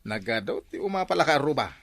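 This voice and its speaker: A man's voice: fairly high pitch, a bit bright, no room sound, exaggerated voice. A man with an Ilocano accent playing a boastful, fussy car owner in a village comedy drama.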